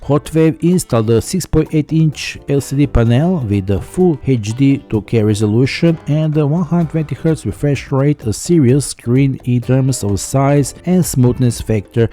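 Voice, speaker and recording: Male tech reviewer, scripted voice-over, deeply mellow and dark tone, light music bed underneath. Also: lively intonation, normal speed, few pauses. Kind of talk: scripted product review narration.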